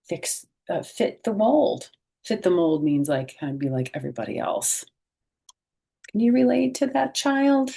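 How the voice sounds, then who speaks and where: A middle-aged woman reading a picture book aloud to children, gently and expressively, pausing to explain things directly to her young listeners. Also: lowish voice, creaky, slightly rough.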